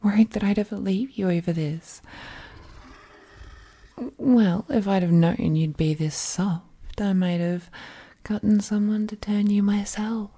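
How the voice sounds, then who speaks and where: A woman voicing an affectionate, teasing witch girlfriend in an intimate ASMR roleplay, soft and breathy, often slipping into whispers, with tender, playful cooing. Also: mid-range voice, steady tone, a little slow. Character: affectionate, teasing witch girlfriend soothing her werewolf partner.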